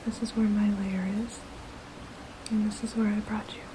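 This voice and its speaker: A woman voicing a gently protective, motherly vampire in a soft, breathy ASMR whisper, casual and soothing. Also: mid-range voice, flat delivery, noisy background, natural voice. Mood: gentle, reassuring. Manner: hesitant, casual, soothing, unhurried.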